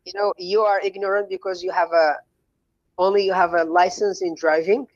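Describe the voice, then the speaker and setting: A man's voice: high voice, fairly even intonation, normal speed, no echo, muffled recording. A man calling into a debate show, insistent and defensive as he argues his point. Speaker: caller. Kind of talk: heated call-in debate.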